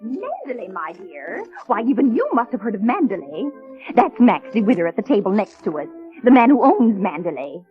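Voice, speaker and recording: A woman's voice: on the high side, sing-song, normal speed, narrow, tinny audio, faint music behind. An actress playing a gushing, bossy, gossipy old society lady in a 1930s radio drama. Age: older. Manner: imperious, effusive, chattering.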